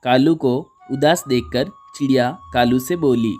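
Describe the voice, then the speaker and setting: A man's voice: mid-range voice, unhurried, mellow. A man narrating a scripted children's moral story. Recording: music under the voice. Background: background music.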